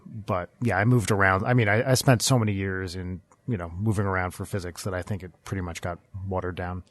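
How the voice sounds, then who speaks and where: Casting: guest, man from North Jersey, mild Northeastern accent, relaxed chat, slightly low voice, dry close mic. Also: a little fast, dry recording. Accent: mild Northeastern American. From North Jersey, USA. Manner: relaxed, conversational, restarts often. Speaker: guest physicist. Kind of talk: casual panel chat.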